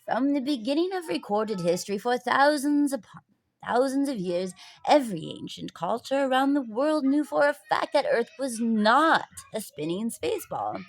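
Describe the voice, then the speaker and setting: An earnest storybook grandfather played by a woman explains great secrets to his grandson. He is jovial at heart but deliberate and serious in how he lays things out. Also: on the high side, gravelly, pitched above the performer's own voice. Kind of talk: children's storybook reading.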